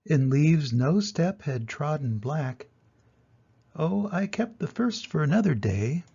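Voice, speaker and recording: Gravelly, mellow older man reading to children. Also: fairly high pitch, very animated, takes it slowly, dull audio. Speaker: grandfather reading to grandchildren. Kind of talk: storytime reading and explaining.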